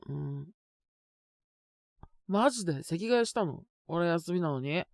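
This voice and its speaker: A man playing a sick, stubborn but shy teenage boy in an intimate Japanese audio drama, speaking in a halting, casual, congested mumble. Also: a little high, animated, vocal fry, dry recording, studio quality. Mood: startled, grumbling. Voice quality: congested cold-sick voice with coughs.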